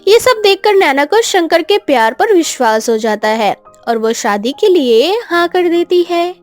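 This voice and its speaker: Woman narrator reading a moral story, high voice, real lively intonation, soft music behind. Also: unhurried.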